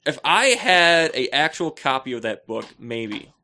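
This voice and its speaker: Male podcast co-host, bright forward voice, casual rambling banter with joking mock indignation. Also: mid-range voice, expressive, measured pace.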